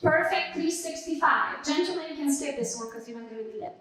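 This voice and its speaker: A woman's voice: sits high, a little fast, a bit bright. A woman giving direct tips to a class, telling her audience what to write down. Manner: direct, gives instructions to the audience. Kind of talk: classroom instruction. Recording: very echoey room.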